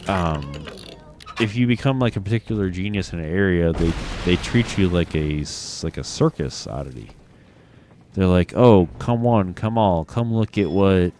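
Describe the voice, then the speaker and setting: A man's voice: low-pitched, background noise. A man with a Midwestern American accent, a bit drunk, rambling and reflective as he hosts a casual gaming chat, swearing casually. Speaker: gaming podcast host.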